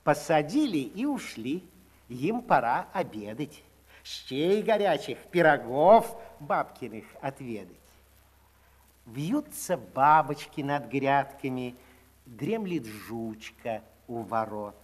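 A man narrating a children's fairy tale in verse with an expressive storybook delivery, on an old 1960s record. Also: medium pitch, slow, husky. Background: orchestral music.

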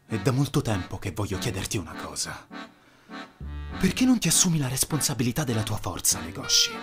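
A man's voice: on the low side, sing-song, fairly quick, over music. A man voicing a proud, domineering young male red deer student in an anime fan dub, stern and intense. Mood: probing, demanding.

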